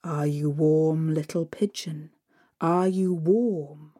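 Father Frost, an ancient male winter spirit in a storybook, drawn out, low and eerie, asking his question over and over in a slow chill drawl, as a woman reads him. His voice sounds soft and dark, and drops away from the listener now and then. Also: fairly even intonation. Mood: eerie, coaxing.

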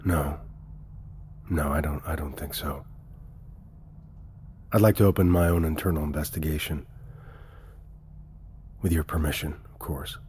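A man's voice: extremely low pitch, fairly even intonation, measured pace, pauses often, a little mellow, a little hiss or hum. An actor playing an earnest male investigator and caring father in an audio drama, plain-spoken and hesitant but persistent. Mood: insistent, uneasy. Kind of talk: naturalistic audio drama acting.

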